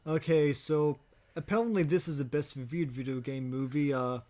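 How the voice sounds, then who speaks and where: A man with a slightly high-pitched voice hosts a casual podcast. He talks in halting stretches, stammering and doubling back over his words as he steers the conversation. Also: steady tone, dark tone. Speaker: podcast host.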